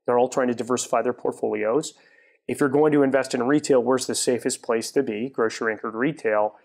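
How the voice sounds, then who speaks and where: A man with a gravelly, creaky edge to his mid-pitched voice talks as a studio guest. He starts sentences over, then settles into steady explanation. Recorded on a lapel mic on a TV studio set. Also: brisk. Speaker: guest, retail property developer. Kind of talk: studio interview.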